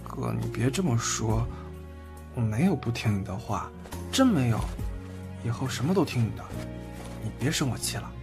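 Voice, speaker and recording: A man's voice: medium pitch, expressive, normal speed, vocal fry, long pauses, music in the background. A man acting an eager, contrite young man devoted to his older boyfriend in an audio drama. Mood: pleading. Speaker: voice actor. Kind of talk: Chinese boys' love audio drama acting.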